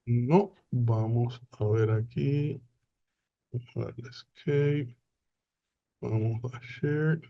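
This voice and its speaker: A man, presenting a webinar in an unhurried way with frequent hesitant fillers over a video call. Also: mid-range voice, steady tone, gravelly, lo-fi sound. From Puerto Rico.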